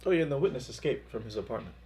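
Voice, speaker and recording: A man's voice: mid-range voice, animated, rapid-fire, dark tone. Casual man hosting a reaction show.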